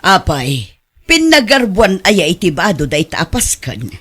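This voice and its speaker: A woman's voice: on the low side, sing-song, a little slow, fairly bright tone, breathy edge, full character voice. A woman playing an older, questioning aunt in a radio drama, with an Ilocano Filipino accent. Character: older, frank, questioning aunt who lends money.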